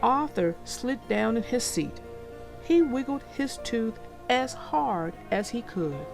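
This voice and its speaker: A woman narrating a storybook for young children in an expressive, deliberate way. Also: a little high, vocal fry, music in the background, low-quality recording.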